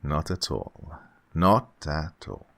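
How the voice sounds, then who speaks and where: Man acting unctuous, slow-talking sinister gentleman. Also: rumbling low, big pitch swings, creaky, full character voice. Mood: unctuous, genial. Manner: unctuous, over-courteous, insistent. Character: falsely genial, sinister male employer luring a young woman. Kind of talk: old-time radio suspense drama acting.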